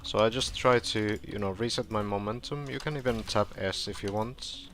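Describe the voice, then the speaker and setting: A man casually talking through a game walkthrough, relaxed and rambling with fillers, his voice going hoarse. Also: on the low side, normal speed. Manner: relaxed, rambling, with frequent fillers and self-corrections. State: hoarse, clearing his throat. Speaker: gameplay tutorial streamer. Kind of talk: casual gameplay walkthrough commentary.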